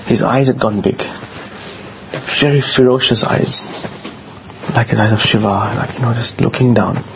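A man with a bassy low voice, telling a personal story in a casual, earnest way, often halting and repeating words. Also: steady tone. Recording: dull lo-fi sound with faint noise.

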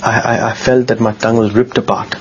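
A man with a bassy low voice, telling a personal story in a casual, earnest way, often halting and repeating words. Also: level tone. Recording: dull lo-fi sound with faint noise.

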